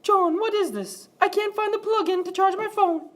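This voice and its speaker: Casting: woman as fretful, complaining wife, extremely high voice, amateur radio drama style. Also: moderate pace, loud music behind the voice. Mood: whining. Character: Katie, complaining, anxious wife who is a nurse. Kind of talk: amateur student radio play acting.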